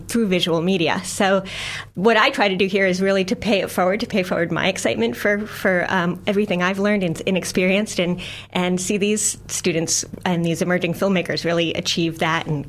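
A woman's voice: on the low side, steady tone, moderate pace. A middle-aged American woman from the United States, a science filmmaker talking as a radio guest, enthusiastic with frequent laughs and many fillers. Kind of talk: radio interview.

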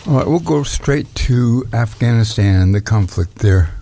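A man's voice: medium pitch, animated, normal speed, dark tone. A man hosting a community radio interview, introducing his guest and asking questions plainly. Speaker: radio host.

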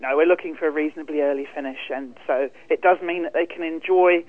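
A woman's voice: sits low, fast, no room sound. A chatty New woman speaks down a tinny, narrow-band phone line. The sound is dry and boxy. She talks in an upbeat, self-mocking way, like an organiser promoting her event. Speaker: bridge event organiser calling in. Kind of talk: cheerful phone interview. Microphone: telephone.

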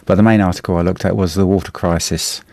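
Halting New Zealand man, low dry voice. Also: steady tone, gravelly, darkish tone, no room sound. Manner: halting, lots of fillers and restarts. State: a bit hoarse. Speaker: radio host. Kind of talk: casual radio chat reviewing the newspaper.

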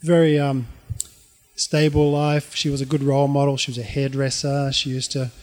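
A middle-aged man with an Australian accent tells his life story informally, in a halting, reflective way. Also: medium pitch, flat delivery, a little mellow.

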